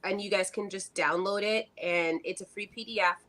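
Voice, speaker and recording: Middle aged woman talking calm and earnest on a live chat, medium voice. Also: mid-range voice, moderate pace. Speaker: co-host. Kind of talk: casual livestream chat.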